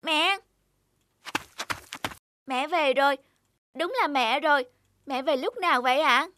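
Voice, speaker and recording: A high, plaintive little cartoon girl. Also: takes it slowly, noisy background. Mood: longing. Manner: plaintive, insistent, childlike. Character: upset little girl longing for her mother. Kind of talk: cartoon voice acting.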